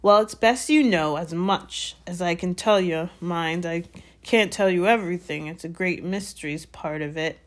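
Storybook giant man, played by a woman: gruff, good-hearted, anxious, folksy dropped consonants. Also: fairly low pitch, moderate pace, close to an everyday voice. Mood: anxious, reluctant. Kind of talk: storybook character voice.

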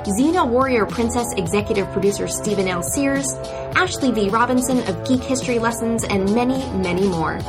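A woman podcast co-host reading a scripted promotional spot in a presentational style. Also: a little high.

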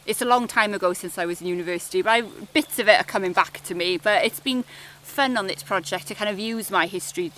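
A woman's voice: mid-range voice, fast talker, fairly bright tone, a little hiss or hum. A Welsh woman in her forties chatting in an interview about her work, with lots of "kind of" fillers. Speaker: project officer. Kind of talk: casual interview chat.

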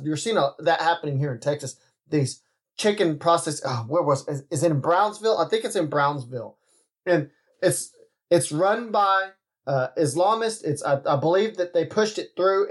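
A man with a high voice hosts his commentary podcast. He rambles, breaks off his sentences, starts them over and turns aside to talk to his listeners. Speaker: podcast host.

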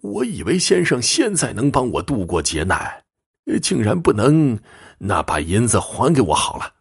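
A man's voice: medium pitch, huge pitch swings, normal speed, vocal fry, breathy edge, voice pushed up, heavily acted. A man voicing a gullible, aggrieved elderly commoner in a storybook tale, with a pleading, hesitant manner. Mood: doubtful.

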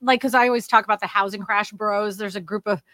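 A woman's voice: mid-range voice, steady tone, quick pace, a bit bright, no echo, clean studio sound. A woman from Louisiana hosting a casual livestream about the housing market, with a slightly nasal voice and a rambling way of talking full of fillers. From Louisiana, USA. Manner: chatty and rambling, with frequent fillers and restarts. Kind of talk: casual livestream chat reading comments.

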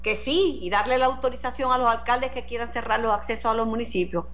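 A woman's voice: on the high side, quick pace, fairly bright tone, dull audio. Puerto Rican woman hosting radio news. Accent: Puerto Rican Spanish. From Puerto Rico. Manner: earnest, direct questioning.

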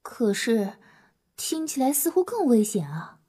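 A woman playing a timid, glum young human captive in an audio drama, grumbling softly. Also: on the high side, big pitch swings, a little slow, slightly rough, full character voice. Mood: hesitant. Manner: soft, mumbled grumbling.

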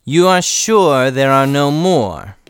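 A man playing a stern, formal schoolmaster in an audio play, giving measured commands. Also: mid-range voice, big pitch swings, slight background noise.

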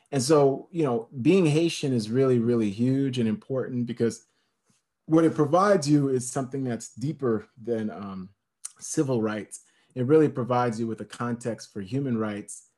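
A man's voice: medium pitch, a little mellow. Impassioned man lecturing on history. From United States. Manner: emphatic, building lists, many fillers and restarts. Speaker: speaker on history.

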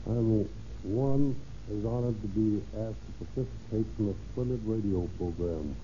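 A man's voice: fairly low pitch, mellow. A middle-aged man, a police chief, reading a formal scripted speech on 1930s radio, stiffly and with small slips. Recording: muffled, noisy 1930s radio recording.

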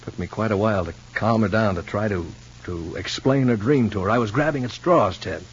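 A man playing an earnest, worried, ordinary fellow in a 1950s radio soap opera, speaking in a halting way. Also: on the low side, slightly rough. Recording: muffled old radio recording with hum.

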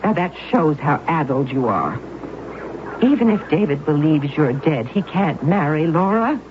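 An actress playing Aunt Tim, an anxious, God-fearing aunt who pleads and frets over a risky plan. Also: female voice, low-pitched, very animated, a little slow. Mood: worried. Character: fretful, pious older aunt, anxious and doubtful. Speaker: supporting character. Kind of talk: 1970s radio drama acting. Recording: muffled old radio broadcast with hiss.

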